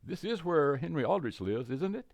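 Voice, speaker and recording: A very low, gravelly voice a woman playing a cocky young suitor in a radio comedy. The delivery is brash, chatty and eager, with lively swings. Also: moderate pace, dry recording, heavily acted. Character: cocky, eager young man on a blind date. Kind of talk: radio comedy stage acting.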